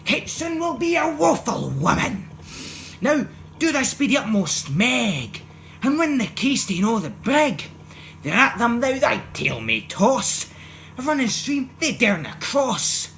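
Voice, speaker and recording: A Scottish man recites narrative verse in a theatrical, expressive manner. His pitch moves widely and his pacing is unhurried. The recording carries clear background noise. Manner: theatrical, expressive, swinging from hushed to loud. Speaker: poetry reciter. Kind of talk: dramatic recitation of a Scots narrative poem.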